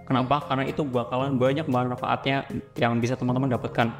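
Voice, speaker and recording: A man's voice: medium pitch, flat delivery, fast. A man, answering interview questions as a student guest, explaining things politely in semi-casual talk. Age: 20s. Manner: explanatory, polite, addresses listeners as friends. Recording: very reverberant room, clear music underneath. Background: music.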